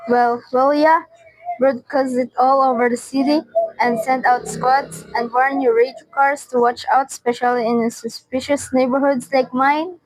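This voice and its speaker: Radio suspense invalid wife, played by a teenage girl: very high voice, anxious, self-pitying, stammering insistence, dry sound. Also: little pitch movement, a little slow, no room sound, poor audio. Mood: demanding. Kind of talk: radio suspense drama acting.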